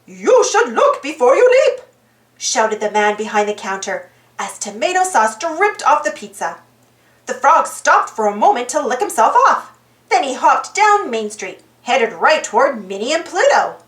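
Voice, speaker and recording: A woman reading a children's storybook aloud as narrator in an animated style. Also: a little high, normal speed, echoey room.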